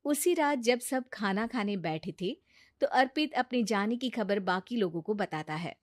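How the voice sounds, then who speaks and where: A woman's voice: medium pitch, expressive, normal speed, dry recording, studio quality. A woman narrating a scripted moral story as a storyteller.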